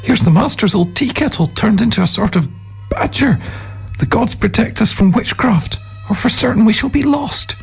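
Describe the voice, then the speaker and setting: A man voicing an alarmed, excitable young temple novice in a storybook tale. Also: sits high, moderate pace, creaky, husky, soft background music, voice pushed up, heavily acted. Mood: frightened.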